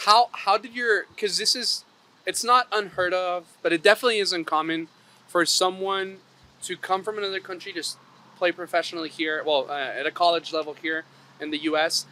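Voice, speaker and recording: A man's voice: high-pitched, fairly even intonation, fairly quick, sharp tone. A man hosting a podcast, asking questions in an upbeat, chatty way. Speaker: podcast host. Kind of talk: casual interview hosting.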